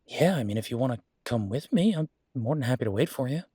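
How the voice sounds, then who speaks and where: An easygoing werewolf boyfriend from an audio roleplay, acted by a man. He has a mid-pitched male voice and chats casually, with a playful, teasing and slightly vain manner. The sound is dry, with no room on it. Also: expressive, fast, warm tone, slightly rough, no room sound. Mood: easygoing, inviting.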